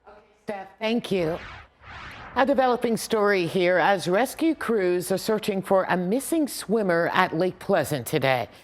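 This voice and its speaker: A woman's voice: medium pitch, expressive, moderate pace. An American woman anchoring a TV newscast in a scripted news delivery.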